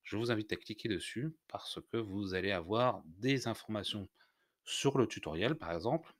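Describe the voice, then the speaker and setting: A man narrating an unscripted software tutorial, explaining step by step in a hesitant way. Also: on the low side, animated, normal speed, no echo, clean studio sound. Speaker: tutorial host.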